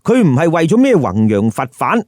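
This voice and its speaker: Male storyteller host, Hong Kong Cantonese accent, very lively swooping intonation, unhurried, colloquial, emphatic, dry close sound. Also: a little high, dry recording. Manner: colloquial, emphatic, rhetorical questions. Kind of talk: comic storytelling with commentary.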